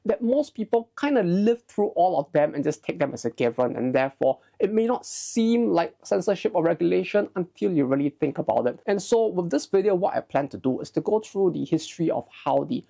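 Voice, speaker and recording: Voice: medium pitch, animated, a little fast, gravelly, hardly any pauses, dark tone. A video essay host narrating in an informal, explanatory way, with conversational asides and hedged remarks.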